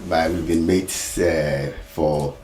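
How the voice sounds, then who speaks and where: A man who is an insurance consultant guest on a talk show, speaking in a measured way with frequent fillers. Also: very deep voice, steady tone, a little mellow, roomy sound. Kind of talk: studio talk show interview.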